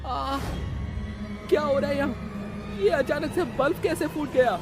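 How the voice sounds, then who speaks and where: A man voicing a greedy, cowardly, jittery village husband in an animated story. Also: very high voice, a little slow. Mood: panicked. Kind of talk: animated Hindi story dialogue. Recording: loud music behind the voice.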